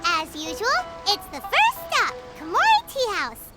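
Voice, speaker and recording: A woman voicing a tiny childlike female fairy companion, squeaky, bubbly and chatty, in a video game. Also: huge pitch swings, unhurried, full character voice. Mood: perky. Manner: speaks of self in third person, bubbly. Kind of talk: English video game voice acting. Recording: loud jingly game music behind voice.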